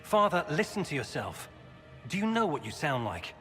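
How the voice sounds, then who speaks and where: Earnest young son, forceful. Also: male voice, a little high, very animated, normal speed. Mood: reproachful. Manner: earnest, insistent. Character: earnest young adult son pleading with his father. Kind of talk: dramatic video game voice acting. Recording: long hall reverb, music underneath.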